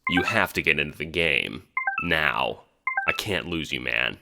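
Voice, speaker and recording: A man's voice: rumbling low, expressive, a little slow, creaky, loud music behind the voice, exaggerated voice. A man voicing a blunt, earnest male friend in an audio drama, firm and given to swearing. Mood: firm pleading.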